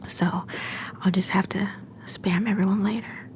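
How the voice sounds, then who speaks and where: A young woman hosting a livestream from home, whispering softly and affectionately to her viewers. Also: mid-range voice, steady tone, measured pace, slight background noise. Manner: soft whisper, affectionate and encouraging. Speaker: livestream host.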